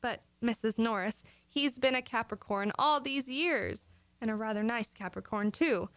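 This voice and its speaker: A woman's voice: on the high side, moderate pace, a bit bright, no echo. A woman playing a scatterbrained, gullible and earnest wife with daffy logic in an old-time radio comedy. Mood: forlorn.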